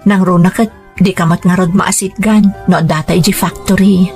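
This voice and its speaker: A woman's voice: lowish voice, fairly even intonation, moderate pace, darkish tone, lightly acted. A woman playing a devoted young daughter in love, speaking tenderly and earnestly in a radio romance drama. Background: background music.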